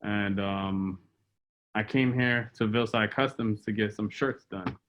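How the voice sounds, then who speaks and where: Low-voiced man, easygoing. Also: unhurried. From Boston, US. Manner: relaxed, hesitant, many ums. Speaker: business co-owner, guest. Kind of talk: casual interview answers. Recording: dull lo-fi sound.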